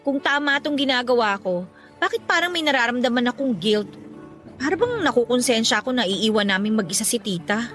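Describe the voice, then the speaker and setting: A woman's voice: a little high, lively intonation, a little fast, fairly bright tone, faint music behind. A woman playing a troubled, indignant young working woman in a Tagalog radio drama, talking in an emotional, aggrieved way. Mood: guilty, conflicted.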